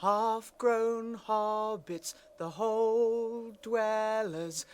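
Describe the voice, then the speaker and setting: A man's voice: high-pitched, extremely slow, smooth. An actor in a radio drama playing a young male hobbit, polite, curious and eager.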